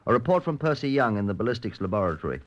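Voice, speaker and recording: An actor playing a dry, determined chief inspector who narrates a vintage radio police drama in measured, understated tones. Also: male voice, medium pitch, big pitch swings, natural voice. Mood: measured, matter-of-fact. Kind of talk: golden age radio police drama acting. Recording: dull, lo-fi old radio recording.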